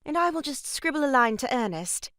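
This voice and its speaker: Bossy society matron, gushing and brisk, highish swooping voice for comic audio drama. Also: female voice, very animated, fairly bright tone, dry recording, clean studio sound. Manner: brisk, gushing, self-important. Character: bossy, gushing married sister-in-law, sharp-tongued matron. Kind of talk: stage farce audio drama acting.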